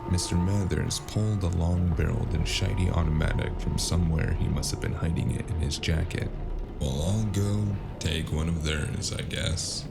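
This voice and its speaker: Very deep gravelly noir detective, acted by a man. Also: normal speed. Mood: weary, wry. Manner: wry, weary, terse. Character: weary, wry noir detective with draining magic, narrating. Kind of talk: noir audio drama narration and dialogue. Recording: music under the voice.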